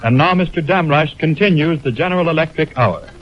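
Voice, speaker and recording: Muffled vintage radio announcer, higher-pitched man. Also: brisk. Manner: formal. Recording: muffled 1929 radio aircheck on film.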